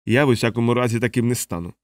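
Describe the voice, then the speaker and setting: A desperate male castaway, played by a male narrator, in a medium-pitched voice with a dry, close sound. He is defiant and bitter, throwing accusations in the style of audiobook adventure dialogue. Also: rapid-fire, no room sound, natural voice. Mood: defiant, accusing.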